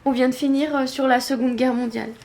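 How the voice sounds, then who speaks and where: A woman's voice: high voice, fairly even intonation, quick pace, a little mellow, reverberant. A young-sounding woman playing a casual, earnest teenage daughter, hesitant, in naturalistic audio drama dialogue. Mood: moved, earnest.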